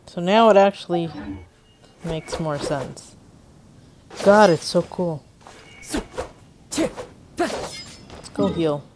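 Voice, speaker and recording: A woman's voice: lowish voice, extremely slow, pauses often, noisy background. A woman giving casual, offhand gameplay commentary, thinking aloud like an enthusiastic fan. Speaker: gameplay streamer. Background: game music.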